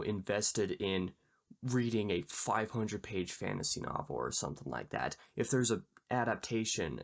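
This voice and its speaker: A man hosting a book channel, talking casually and explaining in a measured, conversational way with a slightly gravelly voice. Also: lowish voice. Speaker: YouTube book channel host. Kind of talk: casual book discussion to camera.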